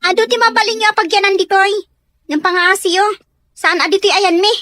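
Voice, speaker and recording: A woman as a very high-voiced defiant teenage girl. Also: brisk, pushed and bright, dry recording, clean studio sound. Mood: irritated. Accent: Filipino. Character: defiant, troubled teenage daughter in high school. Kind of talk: Ilocano audio drama acting.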